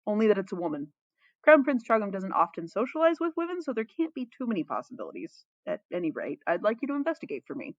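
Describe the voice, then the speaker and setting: Woman reading as an earnest, animated male court scholar. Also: mid-range voice, quick pace, dry recording, dull audio.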